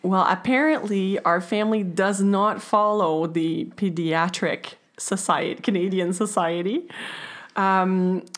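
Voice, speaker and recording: A woman in her forties, a guest on a parenting podcast, talking about her family in a casual, hesitant way with frequent pauses. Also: medium pitch. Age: 40s. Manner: hesitant, many fillers and restarts. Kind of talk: casual chat.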